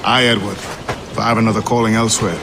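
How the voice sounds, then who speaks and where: An actor playing a stern, loyal sailor with a measured, formal, grave way of speaking in a video game. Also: male voice, fairly low pitch, steady tone, noisy background, natural voice. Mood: fond. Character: stern, loyal male sailor and quartermaster, weary of greed. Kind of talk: dramatic video game acting. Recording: loud film score behind the voice.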